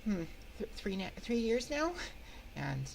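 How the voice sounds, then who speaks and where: A woman's voice: medium pitch, sing-song, a little slow, creaky, darkish tone, slight background noise, pitched above the performer's own voice, poor audio. A woman voicing a timid, awkward female shade in an ASMR roleplay, stammering and rambling nervously into a close microphone. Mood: awkward, sheepish. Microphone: close binaural microphone.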